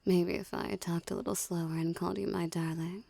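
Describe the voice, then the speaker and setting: A woman's voice: on the low side, fairly even intonation, dark tone, no room sound. A woman playing a dominant, teasing mafia boss in an ASMR roleplay, speaking with cool, condescending flirtation. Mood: seductive, taunting. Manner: smooth menacing flirtation, condescending, unhurried. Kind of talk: ASMR roleplay, scripted character acting.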